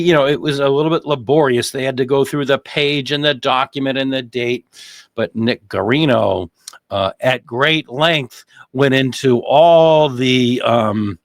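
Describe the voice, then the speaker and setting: A man's voice: medium pitch, fairly bright tone, no echo. A man hosting a legal commentary show, talking conversationally, often repeating phrases and posing rhetorical questions.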